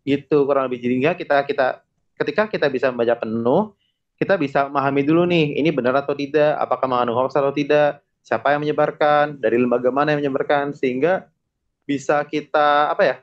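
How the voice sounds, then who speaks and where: A young man talks as a podcast guest, laying out his points in relaxed everyday slang with frequent chuckles. His voice sits a little high. The recording is dull and lo-fi. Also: fast talker. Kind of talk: casual podcast chat.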